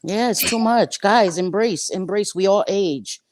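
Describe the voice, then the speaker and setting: Blunt husky woman in her fifties. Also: medium pitch, unhurried, a little mellow, slight background noise. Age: 50s. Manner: blunt, profane, mocking. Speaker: co-host. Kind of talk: casual gossip livestream chat.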